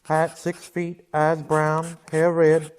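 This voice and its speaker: An actor playing a stern frontier marshal with a dry, deadpan manner in a radio western. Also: male voice, fairly high pitch, takes it slowly, slight background noise. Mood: businesslike. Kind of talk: old-time radio western acting.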